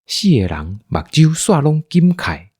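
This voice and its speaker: Taiwanese guy narrating a radio story, slow, raspy, dry close sound. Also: fairly high pitch, sing-song, dark tone, no room sound. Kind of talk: scripted storytelling narration for audio drama.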